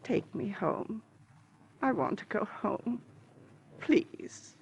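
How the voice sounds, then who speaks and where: A woman's voice: mid-range voice, sing-song, drawn-out words, creaky, dull audio, exaggerated voice. An actress playing a fragile, timid, grieving lady in vintage radio drama, speaking with dramatic, pleading insistence. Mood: pleading, drained. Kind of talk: 1940s-50s radio drama acting. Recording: noisy vintage radio recording.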